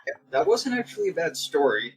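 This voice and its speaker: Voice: mid-range voice, sing-song, a little fast. A person chatting casually as a guest on a talk show. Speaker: co-host or guest. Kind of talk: casual chat.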